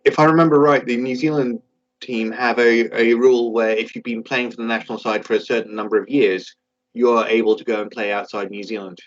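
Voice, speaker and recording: A man co-hosting a sports podcast, chiming in with quick remarks. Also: medium pitch, steady tone, lo-fi sound. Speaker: podcast co-host. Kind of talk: casual sports chat.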